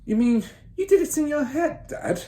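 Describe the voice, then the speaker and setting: A man's voice: extremely high pitch, expressive, normal speed, warm tone, pitched above the performer's own voice. A man voicing an obedient, admiring ten-year-old boy in a children's storybook, eager and deferential. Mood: deferential, impressed. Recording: roomy echo, faint background noise.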